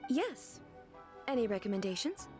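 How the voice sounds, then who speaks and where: A woman's voice: on the high side, big pitch swings, normal speed. A woman playing a dignified, curious shogun ruler in a video game, speaking formally and with composure. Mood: reassuring. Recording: music behind the voice.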